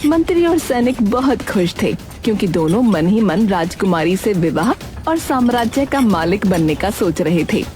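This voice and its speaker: A woman's voice: medium pitch, very animated, moderate pace, darkish tone, faint music behind. A woman narrating a scripted children's moral story.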